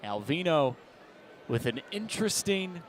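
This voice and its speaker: A man's voice: a little high, big pitch swings, a little slow, a little hiss or hum. A man with an American accent calling baseball play-by-play as a live broadcast commentator.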